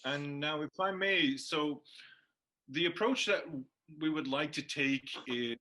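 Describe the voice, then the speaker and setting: A man co-hosting a language-learning show as the teacher, explaining things in a measured, halting, semi-formal way. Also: a little high, pushed and bright, poor audio. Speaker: co-host and Turkish teacher.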